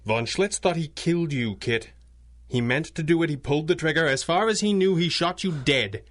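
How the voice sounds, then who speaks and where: An actor playing a resolute, articulate playboy crime fighter in a 1930s-style radio drama, measured and wry. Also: male voice, on the high side, fairly bright tone, lightly acted. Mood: grimly resolute. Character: suave, resolute masked hero, wry billionaire playboy.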